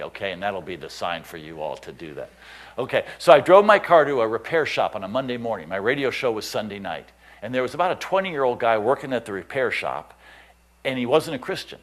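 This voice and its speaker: A man, a missionary pastor telling stories in a sermon and acting out the voices of the people he quotes. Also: mid-range voice. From United States. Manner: animated storyteller, acts out quoted voices, chuckles. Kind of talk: storytelling sermon.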